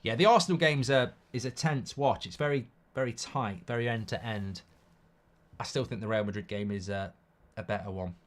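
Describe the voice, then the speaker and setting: A British man with a slightly croaky, bunged-up voice hosts a live football watchalong, mixing casual commentary with blunt, jokey replies to the chat. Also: on the low side, normal speed.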